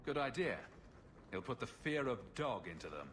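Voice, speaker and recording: An actor playing a cheeky, wisecracking adventurer in a fantasy video game, wry and sarcastic. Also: male voice, mid-range voice, big pitch swings, moderate pace, fairly bright tone, a little hiss or hum. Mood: playful. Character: wisecracking adult male adventurer, sardonic and cheeky.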